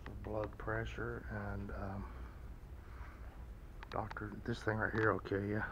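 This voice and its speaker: A low-pitched man rambles casually as he films a walk-through vlog inside a large store. His delivery is low-key and flat, as if thinking aloud, with clear background ambience around him. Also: slow pace, highs cut off, background noise. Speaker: vlogger. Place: large indoor antique mall, walking around.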